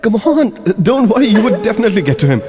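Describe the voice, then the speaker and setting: A man's voice: sits high, very animated, fast. An actor with an Indian accent playing an awkward, tender young man secretly in love in an audio play, hesitating and repeating his words. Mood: anxious, coaxing. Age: young adult. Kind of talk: audio play acting with introspective monologue. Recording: long reverb, music underneath. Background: music.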